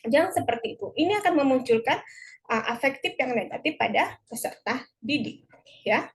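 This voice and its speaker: A woman's voice: high-pitched, animated, moderate pace, slight background noise. A woman with an Indonesian accent giving an explanatory lecture in a conversational, encouraging teacher's manner, slipping into short example quotes. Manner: didactic, encouraging, conversational, often tagging points with "ya". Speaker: lecturer in language teacher education.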